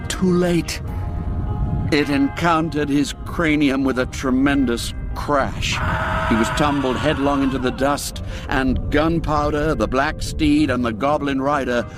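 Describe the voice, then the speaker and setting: A man narrating a classic radio story in a measured, dramatic way, dropping low and quiet for the grim moments. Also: fairly even intonation. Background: faint music score.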